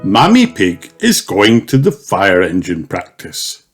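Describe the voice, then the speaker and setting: Male children's storybook narrator, unhurried, hugely varied intonation, music underneath. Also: low voice, wildly swooping pitch. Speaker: storybook reader. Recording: music behind the voice. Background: background music.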